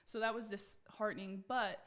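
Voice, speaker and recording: A woman's voice: medium pitch, fairly even intonation, normal speed, fairly bright tone. A young American woman giving conversational interview answers in an earnest, reflective way. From United States. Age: young adult. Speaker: pageant titleholder being interviewed.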